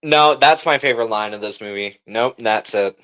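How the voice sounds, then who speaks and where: A man's voice: lowish voice, normal speed, fairly bright tone. A man hosting a livestream, chatting casually and informally with slang as he reacts and talks to viewers. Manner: informal slangy talk, often saying bro. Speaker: livestream host.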